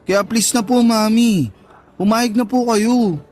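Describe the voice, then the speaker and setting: A man playing an affectionate grown son and older brother in a radio drama, expressive, boyish and respectful. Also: high-pitched, slow, faint music behind. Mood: pleading. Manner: expressive, pleading, boyish, respectful to his mother. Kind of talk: Tagalog radio drama acting.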